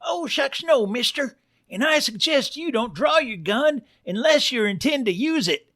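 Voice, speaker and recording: High-pitched, folksy western pig barkeep. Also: male voice, expressive, a little slow, sharp tone, heavily acted. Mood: anxious, polite. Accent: American. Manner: folksy old-west drawl, deferential. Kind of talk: cartoonish western storybook character voice.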